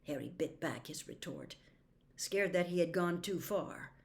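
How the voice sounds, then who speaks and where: A woman narrating a novel aloud as a storyteller, with an expressive, dramatic delivery. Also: fairly low pitch, unhurried.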